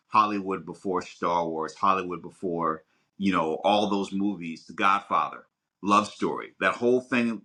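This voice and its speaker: A man's voice: fairly low pitch, sharp tone. A middle-aged man co-hosting a podcast, talking casually and animatedly, often repeating words in bursts as he works out a thought. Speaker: podcast co-host. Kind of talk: casual conversational commentary.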